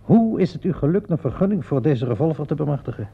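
An actor playing a sardonic, probing police inspector in a radio play, drawling ironic questions with small interjections. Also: male voice, on the high side, lively intonation, fast, vocal fry, husky, full character voice. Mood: mocking, stern. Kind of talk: radio play acting in Dutch. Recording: dull lo-fi radio play recording.

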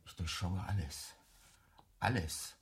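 Betrayed husband, lowish voice, indignant, sarcastic, melodramatic, radio drama. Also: male voice, takes it slowly. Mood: outraged, bitter. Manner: accusatory, melodramatic phrasing. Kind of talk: German radio play acting.

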